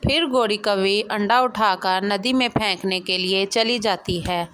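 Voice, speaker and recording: A woman's voice: medium pitch, unhurried, fairly bright tone, slight background noise. Woman, mid-range voice, scripted storytelling, children's moral tale narrator.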